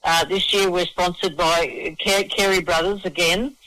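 An Australian man with a high, bright voice talks in a casual interview. He is enthusiastic and matey, stammering over repeated words as he gushes about his subject. The sound is close and dry. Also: fairly even intonation, unhurried, no echo, low-quality recording. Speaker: festival organiser and singer-songwriter, interview guest. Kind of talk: casual radio interview chat.

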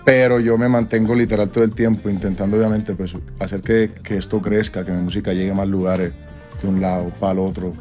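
A man's voice: fairly low pitch, fairly even intonation, fast, darkish tone, faint music behind. A Colombian man in his twenties, a singer talking casually in an interview, rambling with slang and restarts. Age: 20s.

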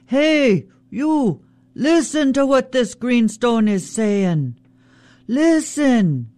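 A woman voicing a loud, scheming male trickster raven who yells taunts and insults. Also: mid-range voice, expressive, slow pace, dry recording, voice pushed up. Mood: taunting, yelling. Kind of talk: traditional oral storytelling.

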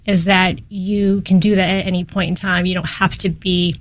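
A woman interview guest explaining her work informally, in halting conversational phrases. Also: fairly low pitch, flat delivery, no echo. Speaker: interview guest, breathwork coach.

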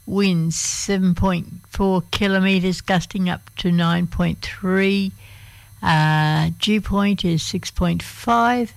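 A woman with an Australian accent and a croaky voice, presenting the weather on community radio in a hesitant, unscripted way with many fillers. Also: lowish voice, no room sound. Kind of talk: reading the local weather on air.

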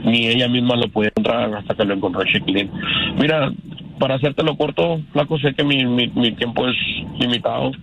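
A gravelly man in his fifties phones in to a radio talk show, his words stumbling and restarting as he speaks. His voice sits at an ordinary pitch and comes through a phone line. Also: fairly bright tone, a little hiss or hum. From Canada. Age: 50s. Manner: halting, many restarts and stammers. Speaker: caller. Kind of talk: phone-in testimony.

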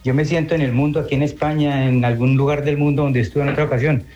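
A Colombian man with a mid-pitched voice sends a casual, heartfelt message to a radio show. The sound is dull and lo-fi like a phone recording, with music under it. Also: steady tone, fast, a little mellow. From Armenia, Quindío, Colombia. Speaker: listener leaving a voice message.

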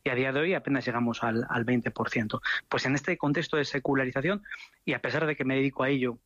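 Male academic guest, mid-pitched, measured and searching, muffled dry line. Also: steady tone, a bit bright, no room sound. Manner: measured, thoughtful, repeats words while searching. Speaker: sociologist guest. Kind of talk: radio interview answers.

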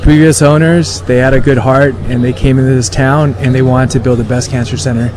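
A man's voice: medium pitch, little pitch movement, brisk. A man from China who grew up in Los Angeles, talking earnestly and informally as an interview guest. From born in rural China, raised in Los Angeles. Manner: informal, peppered with 'like' and 'you know'. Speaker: interview guest, cancer center operator. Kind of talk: casual, earnest interview talk. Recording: crowd and traffic noise behind.

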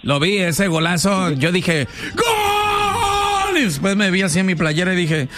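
Jokey radio host, high and animated, with clear room noise behind. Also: male voice. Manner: jokey, quick with sayings. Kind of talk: call-in radio banter.

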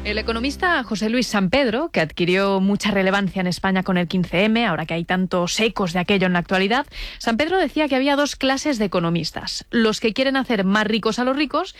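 A woman's voice: mid-range voice, expressive, brisk, dry recording, soft background music. Spanish female radio host, dry studio sound. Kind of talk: live news interview.

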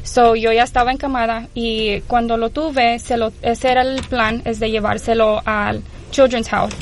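A woman's voice: on the high side, steady tone, moderate pace, slight background noise. A young woman from Houston telling her story as an interview guest, hesitant and full of filler sounds. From Houston, Texas. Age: young adult. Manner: hesitant, many filler sounds, mixes English terms into Spanish. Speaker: guest, mother of a patient. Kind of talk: personal account in an interview.

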